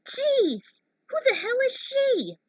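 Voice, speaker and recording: Woman acting out a feisty action heroine, shift up in pitch, loud, indignant, exasperated, storybook dialogue, dry recording. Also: huge pitch swings, takes it slowly, no room sound, lo-fi sound, full character voice.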